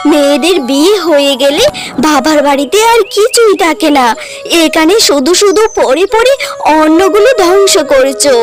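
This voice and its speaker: A woman voicing a vain, selfish, snappy young wife in a cartoon story, haughty and scolding. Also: very high voice.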